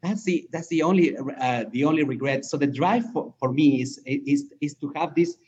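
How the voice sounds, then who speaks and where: A man's voice: on the high side, normal speed, highs cut off, crowd noise. A man from Peru, a company co-founder, reflecting in an interview and often restarting his phrases.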